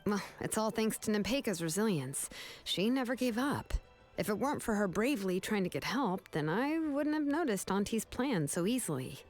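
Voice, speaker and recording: Woman acting a cool capable game heroine, mid pitch, composed and matter of fact, faint music under. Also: big pitch swings, moderate pace, lightly acted. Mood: appreciative. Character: cool, capable young woman, decisive and protective.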